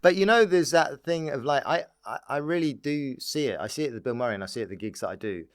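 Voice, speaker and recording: A man, a stand-up comedian guesting on a casual podcast, talking in an animated, rambling way with repeated false starts. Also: medium pitch, fast, no room sound, studio quality.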